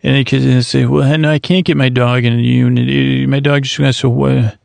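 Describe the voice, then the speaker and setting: A man's voice: mid-range voice, flat delivery, moderate pace, darkish tone, no room sound. A man hosting a bedtime podcast, rambling in a meandering way with lots of restarts and trailing thoughts. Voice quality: gravelly. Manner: meandering, self-interrupting, many restarts. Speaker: podcast host. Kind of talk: rambling sleep podcast monologue.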